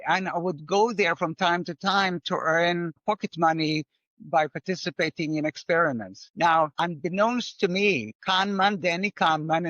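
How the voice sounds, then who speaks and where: An older man with an Israeli accent, a finance professor telling stories in a measured, wry way as a guest in an interview. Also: a little high, a bit bright, no room sound, muffled recording. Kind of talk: conversational interview.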